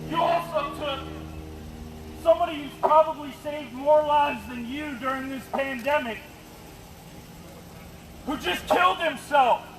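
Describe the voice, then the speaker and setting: High, strained man shouting through a bullhorn. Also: measured pace, long pauses. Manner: confrontational, accusing, emphatic. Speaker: protester. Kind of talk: impassioned protest speech shouted through a bullhorn. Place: outdoors at a street rally, traffic noise behind. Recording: noisy echoey outdoor street recording.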